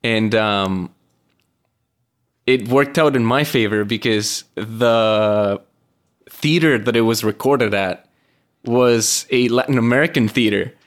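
A man's voice: mid-range voice, slow pace. Man with a Venezuelan Spanish accent. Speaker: podcast co-host. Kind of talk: casual chat. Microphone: remote call.

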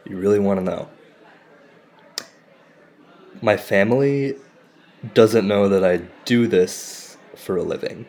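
An actor playing an insecure, self-deprecating comedian in a naturalistic audio drama, halting, confessional and profane. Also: male voice, fairly low pitch, a little mellow. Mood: sheepish, confessing. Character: insecure, self-deprecating Indian-American stand-up comedian, torn by shame.

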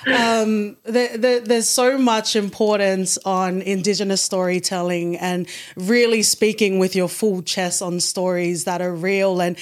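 A woman's voice: mid-range voice, fairly even intonation, measured pace, hardly any pauses. A woman from Tonga hosting an interview, talking in a friendly, upbeat, casual way.

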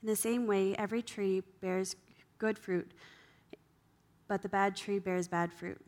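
Mid-pitched woman reading scripture. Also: steady tone, measured pace, darkish tone. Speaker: volunteer scripture reader.

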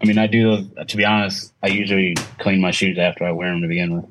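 A man's voice: low voice, steady tone, fast, fairly bright tone, slight background noise, poor audio. A man speaking casually as a podcast guest, a pro player answering questions in an interview chat.

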